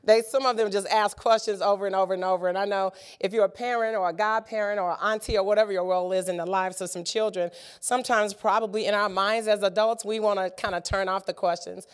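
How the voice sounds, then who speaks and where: A woman in her fifties, slightly raspy from a sinus cold, giving an encouraging conference workshop talk with frequent ums and uhs. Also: mid-range voice, steady tone, fast talker, hardly any pauses. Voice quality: many filler ums and uhs. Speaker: workshop presenter. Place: conference room.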